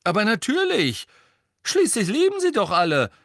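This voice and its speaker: A man voicing a cheeky, brash teenage prankster twin in an audiobook story, loud, breezy and quick to argue. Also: mid-range voice, lively intonation, no room sound, clean studio sound. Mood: indignant.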